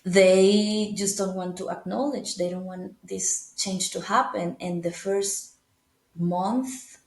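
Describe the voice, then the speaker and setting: Woman, Venezuelan Spanish accent, second-language English, medium pitch, thoughtful, fillers and restarts, roomy echo. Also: steady tone, takes it slowly, darkish tone. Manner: thoughtful, frequent fillers and restarts, laughs easily. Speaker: guest. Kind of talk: casual interview talk.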